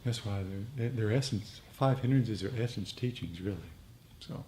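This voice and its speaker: A man speaking as a meditation teacher in a reflective talk, with unhurried, repetitive phrasing, recorded live at a group meeting. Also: fairly low pitch, dark tone. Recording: noisy live room recording.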